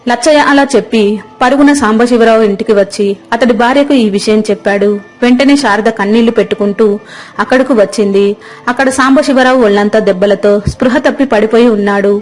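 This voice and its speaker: A woman's voice: a little high, quick pace, darkish tone. A woman storyteller giving scripted narration of a tale. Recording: music under the voice. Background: background music.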